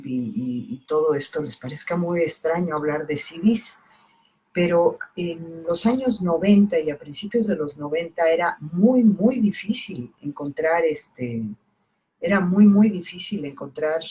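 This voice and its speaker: A middle-aged woman, Italian by birth, talking unhurriedly about her book, with many pauses and fillers in a live stream. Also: deep voice, a little mellow, rough recording. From Italy, raised in southern Mexico. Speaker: poet and editor presenting her book.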